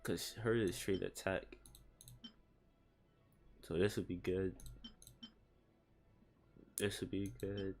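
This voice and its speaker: Low-pitched man casually commentating a game. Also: level tone, slow pace, pauses often, darkish tone. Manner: slangy, talking to himself. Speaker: gaming streamer. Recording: game music behind the voice. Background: video game music.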